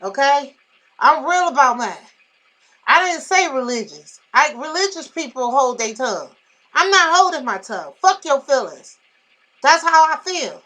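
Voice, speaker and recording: Bright, high-voiced woman venting loudly. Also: measured pace. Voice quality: naturally loud voice. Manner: blunt, emphatic, animated retelling with repetitions. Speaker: vlogger. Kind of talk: personal storytime venting.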